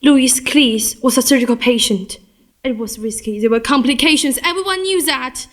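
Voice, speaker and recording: Young woman acting a blunt, indignant high-voiced guest. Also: normal speed, lightly acted. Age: teenager. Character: blunt, indignant, sardonic guest in a murder mystery. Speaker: student stage actor. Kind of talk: amateur stage play acting.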